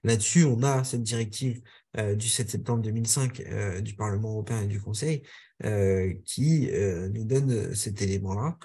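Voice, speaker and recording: A man giving a webinar presentation over a video call, speaking haltingly with many filler sounds and restarts. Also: on the low side, mellow, husky.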